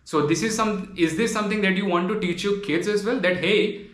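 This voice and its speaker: A man's voice: sits high, a little fast, a bit bright. Earnest young man, echoey room. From Tripura, Northeast India. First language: Bengali. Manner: earnest, pleading, repeats phrases and says "man". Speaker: vlogger. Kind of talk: impassioned direct-to-camera monologue.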